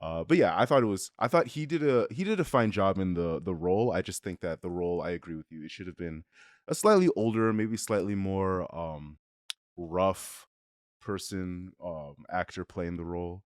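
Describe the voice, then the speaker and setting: A man co-hosting a casual film review, talking haltingly and rephrasing as he thinks. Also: sits low, lively intonation, no room sound, clean studio sound. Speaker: review show co-host.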